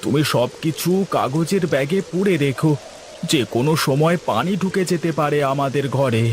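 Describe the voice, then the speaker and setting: A man's voice: a little high, expressive, normal speed, natural voice. A man voicing a cautious, practical village husband in a cartoon, speaking with urgent insistence. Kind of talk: cartoon dialogue. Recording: faint rain noise behind voice.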